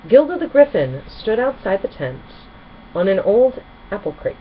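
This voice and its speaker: Female storybook narrator, expressive, varied intonation, slightly dull recording with faint noise. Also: mid-range voice, normal speed.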